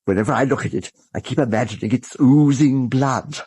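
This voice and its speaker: An actor playing a hesitant, deferential British antique dealer in a radio drama, obliging and halting. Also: male voice, mid-range voice, darkish tone, breathy edge, dry recording, close to an everyday voice. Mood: uneasy. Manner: hesitant, obliging, stammering with ers.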